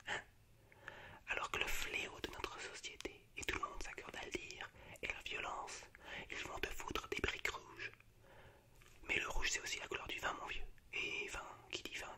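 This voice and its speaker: A man speaking in a breathy, unvoiced whisper as an ASMR host, unhurried and a little hesitant. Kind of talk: whispered ASMR, reciting film monologues.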